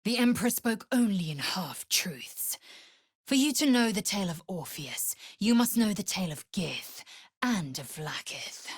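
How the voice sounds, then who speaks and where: Husky, imperious alien warrior woman. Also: medium pitch, very animated, measured pace, no room sound, clean studio sound. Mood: solemn, intense. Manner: haughty, clipped, commanding formal delivery. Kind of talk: video game character voice acting.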